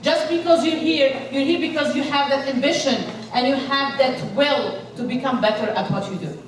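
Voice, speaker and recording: Woman motivational speaker from Egypt, high and energetic, miked from a distance in a big echoing hall. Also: moderate pace, a little hiss or hum. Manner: energetic, rallies the crowd with questions. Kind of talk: inspirational speech to a live audience. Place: large event hall. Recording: echoey hall, voice from far off.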